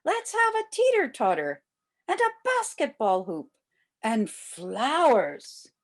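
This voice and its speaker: A woman's voice: high-pitched, huge pitch swings, heavily acted. Woman as eager, swooping little storybook girl. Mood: excited. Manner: pleading, drawn-out, earnest. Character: eager, hopeful, determined young girls with big ideas.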